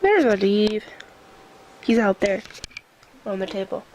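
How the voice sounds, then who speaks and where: A woman's voice: mid-range voice, animated, slow pace, darkish tone, slight background noise, rough recording. Sick, stuffy-nosed woman vlogging. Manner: chatty, self-mocking, laughs often. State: sick with a stuffy nose, voice coming and going. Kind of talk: casual daily vlog talking to camera. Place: at home indoors.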